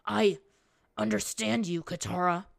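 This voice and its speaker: A woman's voice: mid-range voice, normal speed, vocal fry. A woman reading as an earnest, vulnerable young man. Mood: strained, insistent.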